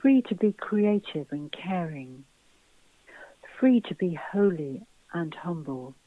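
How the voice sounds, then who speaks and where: A woman preaching a sermon in a measured, devotional manner. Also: lowish voice, expressive, no echo. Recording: phone-like, muffled narrow-band sound.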